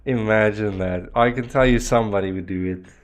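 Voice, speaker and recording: A man whose first language is Afrikaans talks through a networking lab on a live stream. His voice sits a little low, and his delivery is loose and chatty, full of fillers and restarts. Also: fairly quick. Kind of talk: casual live-stream chat while working a lab.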